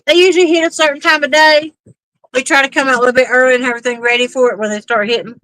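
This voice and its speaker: A woman chatting casually on a pontoon boat while fishing, easygoing and quick to laugh. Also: high-pitched, steady tone, bright, forceful tone, dry recording, rough recording. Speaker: fishing streamer. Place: outdoors on a pontoon boat on a lake.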